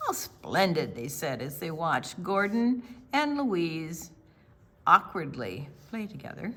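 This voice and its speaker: Slow, highly animated low-pitched woman storyteller. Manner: theatrical, deliberate phrasing with questions to the young listeners. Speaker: children's storytime host. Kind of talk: expressive read-aloud of a picture book for young children.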